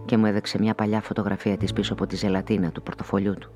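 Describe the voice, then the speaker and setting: A man performing an introspective narrator of a radio play, a reflective woman in the script. The voice is low and measured, telling a first-person literary story with music clearly underneath. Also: flat delivery, natural voice. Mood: reflective, wistful. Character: reflective, inquisitive woman narrator who smokes, unmarried.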